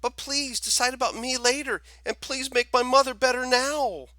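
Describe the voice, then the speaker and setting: A man's voice: very high voice, fairly even intonation, normal speed, sharp tone, dry recording, voice pushed up. A man voicing a frantic young boy in a storybook, stammering and repeating his words as he pleads. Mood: desperate pleading. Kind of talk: storybook character voice.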